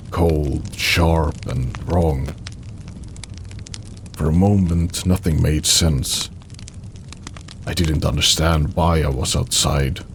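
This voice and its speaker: A man narrating an audio drama in the first person, with a somber, measured, dramatic delivery in short phrases and a gravelly voice. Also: extremely low pitch, a little hiss or hum. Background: background music.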